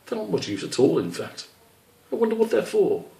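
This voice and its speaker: A man's voice: high-pitched, wildly swooping pitch, fairly quick, vocal fry, mellow, pitched above the performer's own voice, exaggerated voice. Storybook young badger read by a man: dry, huffy grumbler, recorded from a distance. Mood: dismissive. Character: young male badger, touchy, grumbling, matter-of-fact. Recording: roomy echo, distant microphone.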